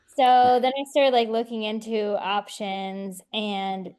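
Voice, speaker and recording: A young woman in her twenties talks about herself in a casual, chatty way, leaning on filler words as she goes. Her voice sits at an ordinary middle pitch. She sounds like a podcast guest telling her story. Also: little pitch movement, slow, darkish tone, smooth. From northwest Indiana, near Chicago. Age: 20s. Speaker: guest author.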